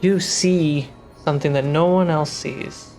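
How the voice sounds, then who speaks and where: Game master, slow measured delivery, suspenseful fantasy narration over faint music. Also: medium pitch, steady tone, darkish tone.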